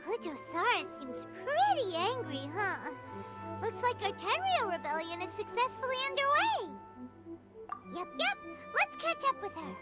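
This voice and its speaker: A woman voicing a tiny, cheeky, excitable fairy-like girl companion in an anime game, squeaky and chirpy, with exclamatory delivery. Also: unhurried, heavily acted. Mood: eager. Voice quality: squeaky, childlike. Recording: loud music behind the voice.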